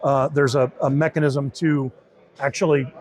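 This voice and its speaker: A man's voice: medium pitch, fairly even intonation, normal speed, a little mellow. A man giving an informal, step-by-step demo and explanation of how something works. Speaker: beta test supervisor giving a product demo.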